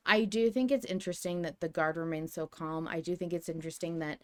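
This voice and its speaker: A woman's voice: lowish voice, steady tone, fast. A woman hosting a podcast, talking through ideas in a casual, musing fan discussion. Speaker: podcast host.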